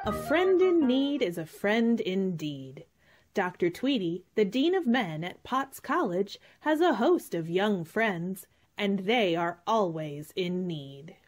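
A woman's voice: medium pitch, big pitch swings, takes it slowly, soft background music. A woman announcing and narrating an old-time radio comedy from a script.

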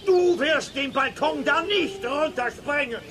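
Cranky, high-pitched scolding eccentric. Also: male voice, a little slow, crowd noise. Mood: angry, threatening. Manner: stern, scolding, bossy. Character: cranky, threatening costumed man of a spooky house. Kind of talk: German children's radio play acting.

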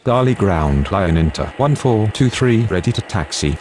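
Low-voiced actor as clipped air traffic radio man. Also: lively intonation, moderate pace, a little mellow, lightly acted. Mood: procedural. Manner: clipped, procedural. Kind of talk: scripted aviation radio phraseology.

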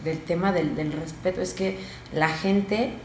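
Lively Mexican woman telling a story. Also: on the low side, measured pace. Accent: Mexican Spanish. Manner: animated, storytelling, laughs often. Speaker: guest. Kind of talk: studio talk show chat. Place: small TV studio, round table. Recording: roomy studio with faint noise.

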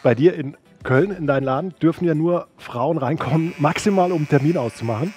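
A man's voice: on the high side, brisk, a little mellow, soft background music. A man in his thirties presenting and interviewing at an event, speaking into a headset mic in a sports hall. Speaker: host and interviewer. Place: large indoor sports hall.

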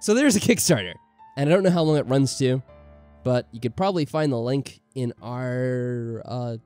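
A man hosts a gaming video in loose, casual talk. His mid-pitched voice moves around a lot, with music playing behind him, and he rambles in a self-deprecating way. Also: lively intonation, normal speed, darkish tone. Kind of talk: casual chat over a game playthrough.

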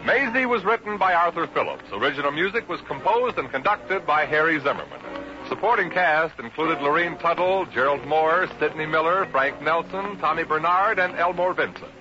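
A man's voice: on the high side, animated, normal speed. A man with an American accent reading scripted announcements in 1950s radio announcer style. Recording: muffled 1950s radio recording with music.

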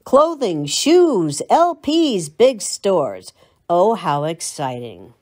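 An older American woman reads scripted narration as a local history presenter. Her voice sits a little low and moves up and down a great deal in pitch. She tells it like someone reminiscing. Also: sing-song, drawn-out words, dark tone. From Long Island, United States. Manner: storytelling, reminiscing.

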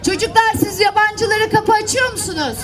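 A woman's voice: extremely high pitch, brisk, loud music behind the voice. A woman telling fairy tales live to children, addressing them directly and playfully at an outdoor event. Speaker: children's storyteller. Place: outdoors, seaside public event. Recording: outdoor event with crowd and background noise.

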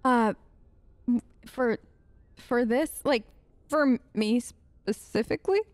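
A woman's voice: on the high side, slow pace, no echo. A woman hosting a let's play with casual, wry, hesitant role-play commentary, talking in character.

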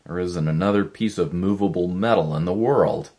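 A man's voice: sits low, moderate pace, darkish tone. A man voicing a frustrated druggist in a sci-fi story, by turns muttering and exclaiming. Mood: defeated. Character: frustrated male druggist Dave Miller, weary then frantic.

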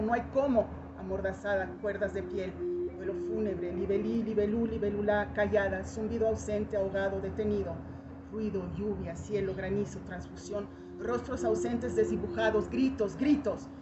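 A woman performing a dramatic poetic monologue in short fragmented phrases, with intense, incantatory theatrical delivery. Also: medium pitch. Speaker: poetry performer. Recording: dull lo-fi recording with loud noise.

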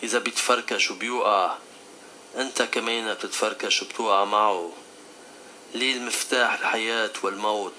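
Casting: male performer as stern divine father in a devotional skit, Levantine accent, piercingly bright tone, solemn counsel, faint noise behind. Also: on the low side, fairly even intonation, a little fast, voice pushed down. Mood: stern, admonishing. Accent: Levantine Arabic. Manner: solemn, admonishing.

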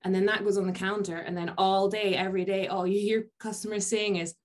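A woman's voice: medium pitch, steady tone, brisk. A woman, a podcast co-host telling rambling stories with many fillers on a video call. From Scotland. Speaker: podcast co-host from a family bakery. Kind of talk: casual video call chat. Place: indoor office with posters. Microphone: video call webcam.

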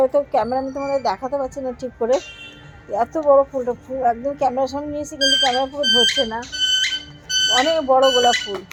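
A woman's voice: high voice, low-quality recording. A woman chatting casually and effusively to viewers as she vlogs in her home garden, often repeating herself. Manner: effusive, repetitive, showing things to viewers. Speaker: vlogger and host of own page.

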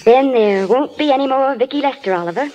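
An actress playing a soft, earnest, self-sacrificing young film star wife in a vintage radio drama. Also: female voice, sits high, lively intonation, fairly quick, dull audio, a little hiss or hum. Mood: sad resolve. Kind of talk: 1930s radio drama acting. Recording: vintage radio broadcast, faint music.

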